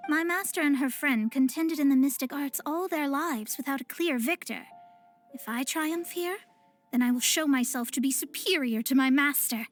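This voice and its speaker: A woman's voice: high voice, moderate pace, natural voice. A woman voicing a proud young woman astrologer in a video game, speaking in a pompous, formal, precise way. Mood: lofty, self-assured. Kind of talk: scripted video game voice acting. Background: game music.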